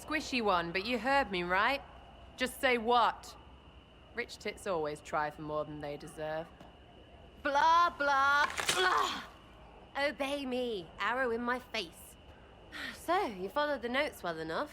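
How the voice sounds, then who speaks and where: An actress playing a cheeky, irreverent lowborn woman archer who talks in crude, mocking, rambling banter. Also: big pitch swings, slow pace, background noise.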